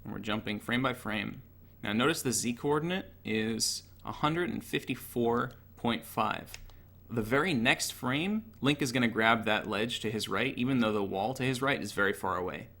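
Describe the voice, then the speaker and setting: A man's voice: mid-range voice, normal speed, gravelly, sharp tone. A man giving an informal, technical tutorial over gameplay in a matter-of-fact, analytical way. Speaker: gaming tutorial narrator.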